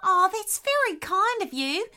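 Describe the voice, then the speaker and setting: A woman's voice: very high voice, very animated, a little slow, sharp tone, soft background music, pitched above the performer's own voice, full character voice. A woman voicing a kindly, brave echidna in a children's story, with an earnest, reassuring manner.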